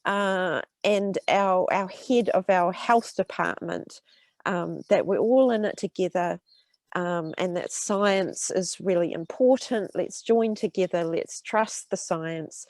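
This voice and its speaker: A woman's voice: fairly low pitch, slow, no echo. Sniffly New Zealand woman with a cold. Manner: warm explaining with many fillers and restarts. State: congested with a cold. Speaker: urban health researcher. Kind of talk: talk to students.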